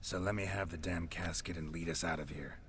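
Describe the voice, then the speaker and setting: An actor playing a weary, dry-witted monster hunter in a video game, speaking in terse, blunt, deadpan lines. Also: male voice, sits low, brisk, noisy background, close to an everyday voice. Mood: wry, tired. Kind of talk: understated video game acting.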